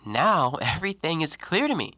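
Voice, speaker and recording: A man acting in an audio play as an educated, coolly logical, self-righteous man who argues scornfully in precise, deliberate diction. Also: fairly high pitch, very animated, sharp tone, no echo, full character voice. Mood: resolved, accusing.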